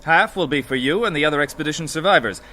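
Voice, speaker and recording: An actor playing a commanding, principled adventure hero who speaks with crisp authority in a radio drama. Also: male voice, on the high side, lively intonation, fast, pushed and bright, a little hiss or hum. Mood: assured.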